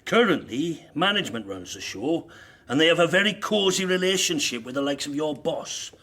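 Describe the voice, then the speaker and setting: Husky union man, higher-pitched, blunt, fervent, rhetorical, radio drama. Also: big pitch swings, normal speed, pushed and bright, full character voice. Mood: forthright. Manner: forthright, rhetorical, persuasive.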